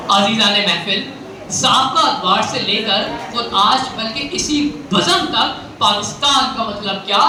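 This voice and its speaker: A student speech contestant with a Pakistani accent delivers a fiery, poetic declamation in a theatrical, rhetorical manner, repeating phrases for emphasis. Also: medium pitch. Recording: very echoey, distant mic, noisy venue recording.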